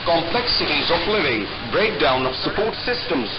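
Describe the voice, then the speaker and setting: A man's voice: fairly high pitch, sing-song, moderate pace, lo-fi sound, loud music behind the voice. A man reading a scripted news report as a correspondent, heard on a shortwave radio broadcast.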